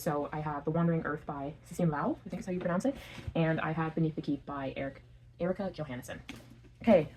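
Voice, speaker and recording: A woman with a fairly low voice talks casually to her camera about books from the floor of her home. She rambles in a friendly way, leans on filler words and often stops to correct herself. A faint hiss of background noise sits under her voice. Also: darkish tone. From Canada. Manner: chatty, filler-heavy, corrects herself on names. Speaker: book vlogger.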